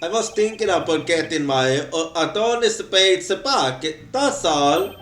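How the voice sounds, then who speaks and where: A man reading lines as a calm, seasoned male attorney in a quiet, laid-back tone. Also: a little high, measured pace, roomy sound, faint music behind.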